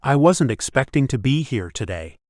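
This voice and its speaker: A man's voice: mid-range voice, big pitch swings, normal speed, a little mellow, no room sound, clean studio sound, voice pushed up, close to an everyday voice. A man reading an audiobook, voicing an awkward, polite young man out of place, in a slightly raised voice. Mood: uneasy.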